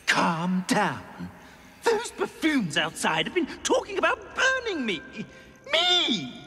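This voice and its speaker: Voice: mid-range voice, huge pitch swings, slow pace, bright, forceful tone, breathy edge, in a large hall, exaggerated voice. An actor voicing a vain, gossipy talking portrait in a video game, haughty and indignant. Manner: pompous, indignant, theatrical. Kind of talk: video game character acting.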